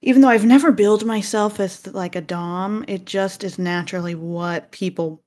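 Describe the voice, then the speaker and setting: A woman's voice: mid-range voice, normal speed, a little mellow. A woman hosting an interview, chatting casually and giggly with her guest. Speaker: podcast host.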